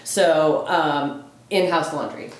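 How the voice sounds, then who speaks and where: A woman's voice: low voice, takes it slowly. A woman in her forties chatting casually and emphatically in an audition-style conversation. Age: 40s. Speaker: home buyer auditioning for a TV show. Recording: very echoey, roomy sound.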